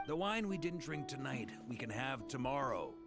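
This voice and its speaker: An actor playing a hearty, rugged knight commander in a video game, jovial and philosophical with a rousing, theatrical manner. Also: male voice, medium pitch, sing-song, moderate pace, vocal fry. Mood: hopeful. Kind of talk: dramatic video game voice acting. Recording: music behind the voice.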